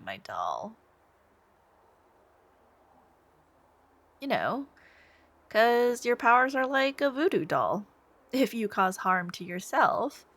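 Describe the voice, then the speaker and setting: A woman's voice: medium pitch, full character voice. A woman playing a smitten, sweetly menacing young supervillain stalker in an intimate ASMR roleplay, coy and theatrical, sometimes murmuring. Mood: infatuated, teasing. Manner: coy, unhurried, theatrical, lapsing into breathy murmurs.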